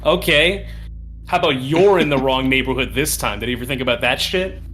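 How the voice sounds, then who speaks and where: A man's voice: medium pitch, fairly quick. A man playing a tabletop game, chatting casually and swearing freely as he talks. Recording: music playing behind the voice. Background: background music.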